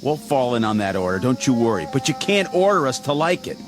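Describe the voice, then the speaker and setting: An actor as a bitter, defiant army private. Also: male voice, medium pitch, very animated, a little fast, natural voice. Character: bitter, blunt young male army private. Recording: soundtrack with loud score.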